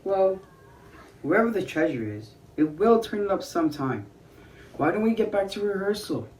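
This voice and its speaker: A man's voice: high-pitched, very animated, normal speed, a little mellow, rough recording. A man playing a practical, polite actor in a 1940s radio play. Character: practical radio actor, also a courtly attendant.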